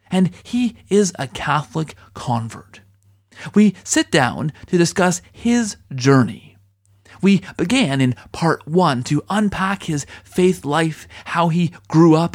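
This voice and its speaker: A man with a slightly high voice, presenting as a podcast host. He speaks effusively and earnestly, in the upbeat style of someone introducing and leading an interview.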